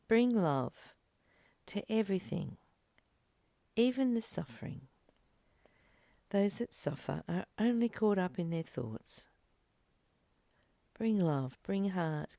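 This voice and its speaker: A woman giving a reflective spiritual teaching, reading and commenting in short, earnest, gently urging phrases with pauses. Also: deep voice, vocal fry, dry recording.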